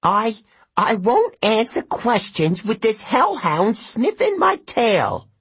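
A man's voice: high-pitched, very animated, takes it slowly, a bit bright, husky, dry recording, voice pushed up, heavily acted. A man voicing a fussy, cowardly, very old and fat male satyr, whiny and indignant.

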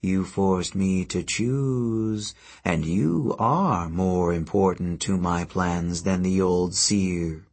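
A man voicing a sleepy, menacing female earth goddess with slow, drowsy speech. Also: sits low, darkish tone, voice pushed down, full character voice.

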